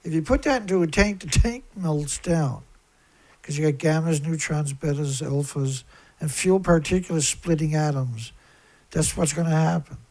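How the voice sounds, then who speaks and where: A man with a squeaky voice hosting a live stream in a rambling, blunt, aggrieved and emphatic monologue that often repeats itself. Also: moderate pace, darkish tone, rough recording. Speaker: live stream host, environmental activist.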